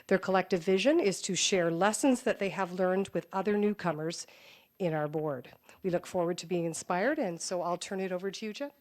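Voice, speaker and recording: A woman's voice: fairly low pitch, brisk, a little mellow. A woman school board director introducing students at a meeting, with frequent hesitant fillers. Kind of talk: formal introduction.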